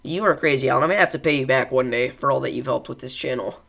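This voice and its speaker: A man's voice: medium pitch, fast, vocal fry, a bit bright. A young man with a congested voice, hosting a live weather stream in a casual, rambling way with many fillers and chatting with viewers. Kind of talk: live weather coverage, reading chat.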